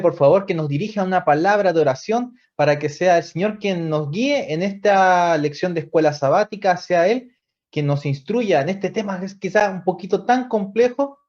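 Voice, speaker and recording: A man's voice: high-pitched, darkish tone. A man with a Chilean accent hosting a live youth Bible study show, upbeat and inviting. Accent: Chilean Spanish. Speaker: host. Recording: dull lo-fi stream audio.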